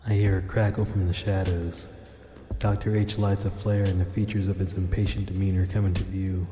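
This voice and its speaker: A man's voice: low voice, little pitch movement, brisk, mellow, big-room sound, faint music behind, natural voice. A man playing a cocky, wry, battered cyberpunk infiltrator in an audio drama, both narrating and speaking in character. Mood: tense narration. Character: cocky wounded tailed infiltrator, wry cyberpunk action hero. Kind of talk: audio drama dialogue with first-person narration.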